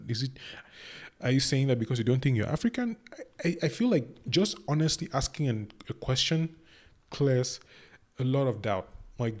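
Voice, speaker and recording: A man's voice: mid-range voice, a little mellow. Cameroonian man musing in a casual talk. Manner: thoughtful, rambling with restarts. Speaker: reaction video host. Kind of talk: casual reaction commentary.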